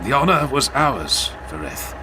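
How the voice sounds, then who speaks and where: A man's voice: medium pitch, very animated, unhurried, background noise. A man voicing a courteous army commander, speaking formally and graciously in a dramatized audiobook. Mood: formal gratitude. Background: music.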